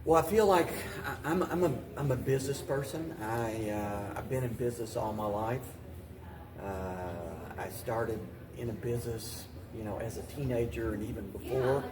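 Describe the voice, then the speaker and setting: An American man answering interview questions in a deliberate, halting way, often restating his phrases as he goes. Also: medium pitch, crowd noise. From Paducah, Kentucky, United States. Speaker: mayor and interview guest.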